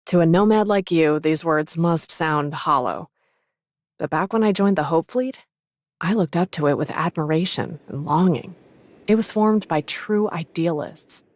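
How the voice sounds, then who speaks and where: An actress playing a proud, principled young fleet officer in an anime game, composed, frank and firm. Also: female voice, sits low, unhurried. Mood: wistful. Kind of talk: English anime game voice acting.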